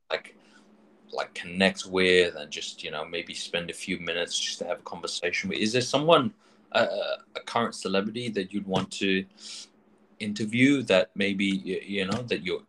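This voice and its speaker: A male interviewer with a very low voice asks long questions in a casual chat. He stumbles through them, repeating words and filling gaps. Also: bright, forceful tone, a little hiss or hum, rough recording. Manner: halting, many fillers and restarts.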